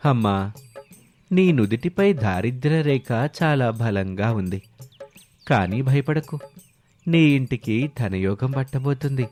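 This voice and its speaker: A man's voice: medium pitch, expressive, takes it slowly, long pauses, darkish tone, faint music behind. A man voicing a sly swindler posing as a holy man, solemn and coaxing, in a moral story. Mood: solemn, reassuring. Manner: solemn, pronouncing, coaxing with promises and warnings. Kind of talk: animated moral story dialogue.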